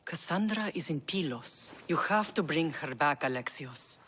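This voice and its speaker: An actress voicing a middle-aged mother in a game cutscene, gentle but insistent. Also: low voice, animated, normal speed, slight background noise. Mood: pleading. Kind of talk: video game cutscene acting. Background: game score music.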